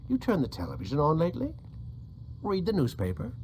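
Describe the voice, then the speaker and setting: An actor playing an older, condescending scientist villain in a video game, impatient and lecturing. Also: male voice, a little high, wildly swooping pitch, a little fast, a little mellow, slight background noise. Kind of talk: dramatic video game acting.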